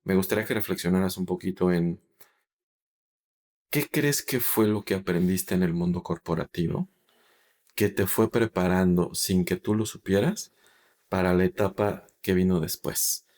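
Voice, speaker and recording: Deep-voiced male podcast host. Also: normal speed, a little mellow. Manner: thoughtful, long rambling questions. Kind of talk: interviewing a guest.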